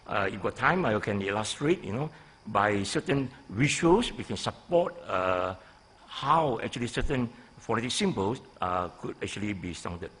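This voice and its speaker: A man in his sixties with a Malaysian accent, a professor giving a deliberate, halting conference presentation into a lectern microphone, stressing key phrases. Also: fairly low pitch, expressive, a bit bright, husky. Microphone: gooseneck lectern microphone.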